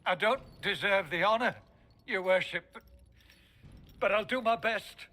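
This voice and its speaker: High-voiced, meek village mayor. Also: male voice, pushed and bright. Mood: humbled. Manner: halting, pleading. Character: guilt-ridden village mayor, meek and pleading. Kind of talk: scripted video game dialogue.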